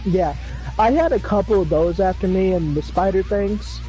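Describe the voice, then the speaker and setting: A man chatting casually during a game livestream, rambling with fillers and swearing, his voice going hoarse. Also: sits high, normal speed, dark tone, faint music behind, rough recording. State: losing his voice. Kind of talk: casual chat.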